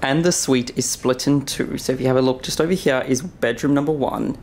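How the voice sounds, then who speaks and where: A man's voice: medium pitch, brisk, darkish tone, slight background noise. A young man vlogging a cruise ship tour, filmed at arm's length on camera in an upbeat, chatty guide manner. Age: young adult. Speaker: travel vlogger. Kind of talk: casual travel vlog tour. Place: indoor areas of a cruise ship. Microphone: camera held at arm's length.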